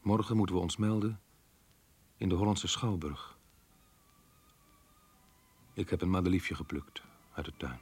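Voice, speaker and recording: A man's voice: low voice, a little slow, long pauses, a little mellow, breathy edge. An actor playing a melancholy, resigned man who reads from his diary in a subdued, reflective way in a radio play. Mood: resigned, sad. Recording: roomy sound with music behind.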